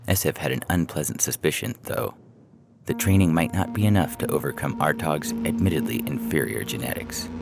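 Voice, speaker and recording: A man's voice: rumbling low, gravelly. A man reading a humorous science fiction story as a scripted narration, with music playing behind him.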